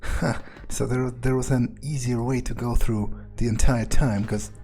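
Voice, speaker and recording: Man playing a slow-talking, sardonic vampire. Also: medium pitch, fairly even intonation, dark tone, faint music behind, natural voice. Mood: musing, muttering. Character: sardonic, pragmatic male vampire sneaking around. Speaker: game player roleplaying a character. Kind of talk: in-character gameplay commentary, thinking aloud.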